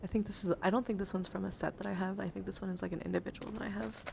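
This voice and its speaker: A woman livestreamer chatting casually in an ASMR style, halting and rambling as she thinks aloud. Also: medium pitch, steady tone, vocal fry, a little mellow, a little hiss or hum. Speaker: livestreamer showing a dice collection. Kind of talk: casual ASMR chat to viewers.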